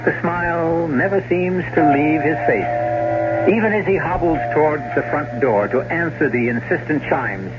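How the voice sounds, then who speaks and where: A man's voice: on the high side, normal speed, very noisy, close to an everyday voice. A man narrating a scripted 1970s radio mystery as a suave, ironic, theatrical storyteller. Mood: wry, suspenseful. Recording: muffled old radio broadcast over music.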